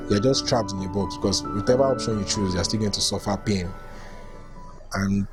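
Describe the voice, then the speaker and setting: A man with a Nigerian accent, reading personal accounts aloud and reacting to them casually. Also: sits low. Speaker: volunteer reader reacting to written accounts. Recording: music bed under the voice. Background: music.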